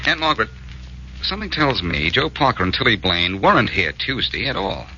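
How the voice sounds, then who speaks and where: A man's voice: lowish voice, big pitch swings, a little fast, bright, forceful tone, dull audio, a little hiss or hum, full character voice. A man playing a confident, relentless amateur detective who presses leading questions in a 1940s radio drama on an old recording. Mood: probing.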